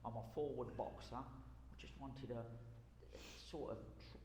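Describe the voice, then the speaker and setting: A man's voice: mid-range voice, steady tone, measured pace, warm tone. A middle-aged man reminisces casually in a rambling way, repeating his phrases as he goes. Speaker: interviewee, former pro boxer and amateur coach. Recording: echoey hall with faint background noise.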